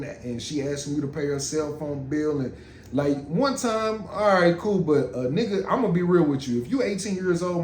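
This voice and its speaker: A man, co-hosting a podcast in loud, joking, profane banter. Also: high voice, reverberant, slight background noise. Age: 30s. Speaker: podcast co-host. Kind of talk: casual podcast chat.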